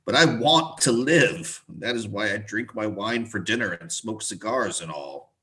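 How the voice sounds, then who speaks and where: Man playing a low-voiced, wistful old gentleman. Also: expressive, normal speed. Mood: plaintive. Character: wistful, grumbling 65-year-old retired civil servant. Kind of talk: cold play reading over video call.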